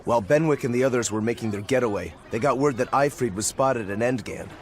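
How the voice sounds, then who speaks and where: A man's voice: mid-range voice, fast talker, close to an everyday voice. An actor playing a cool, detached demon swordsman with a blunt, matter-of-fact manner in a video game. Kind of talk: English-dubbed video game cutscene acting. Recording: game music behind the voice.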